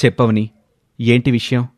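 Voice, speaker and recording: A man playing a trusting, concerned young husband in an audio story, with a gentle, questioning manner. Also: mid-range voice, level tone, normal speed, no room sound, clean studio sound, close to an everyday voice. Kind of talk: scripted audio story dialogue.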